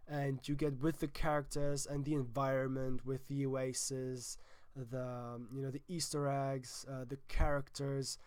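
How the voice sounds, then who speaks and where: A man's voice: medium pitch, flat delivery, unhurried, darkish tone, clear, resonant tone, dry recording. German accent guy doing a film vlog, under the weather, chatty and emphatic, dry close sound. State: sick. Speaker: film vlogger, host. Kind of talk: casual vlog chat.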